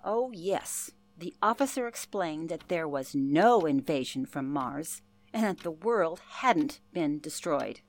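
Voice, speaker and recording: An actress playing a former telephone operator who recalls past events in a reflective, earnest interview style. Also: female voice, low voice, lively intonation, slow pace, few pauses, dry recording, heavily acted. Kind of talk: interview-style narration in radio play.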